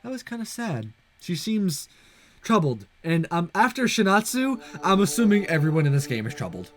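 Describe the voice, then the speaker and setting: A man's voice: high voice, big pitch swings, normal speed. A man hosting a let's play, giving casual commentary and reading narration from a visual novel. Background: music.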